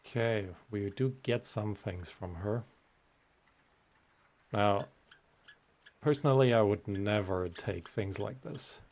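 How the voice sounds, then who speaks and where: A man hosting a gaming video in a low, creaky voice. He talks very slowly, musing casually over faint game ambience. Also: a little mellow. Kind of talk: casual let's-play commentary.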